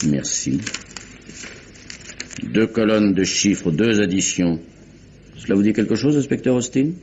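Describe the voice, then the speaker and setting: An actor playing a stern, formal British magistrate in a 1950s-style French radio mystery, measured and authoritative. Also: male voice, lowish voice, expressive, darkish tone. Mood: probing, formal. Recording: dull, noisy old radio recording.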